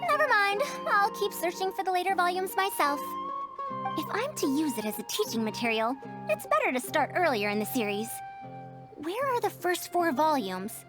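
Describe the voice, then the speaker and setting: A woman's voice: extremely high pitch, very animated, moderate pace, over music. A woman voicing a bookish, fussy scholar in a video game, speaking formally with emphatic, old-fashioned phrasing. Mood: eager, puzzled. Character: bookish, fussy young-sounding woman scholar, indignant about her beloved books.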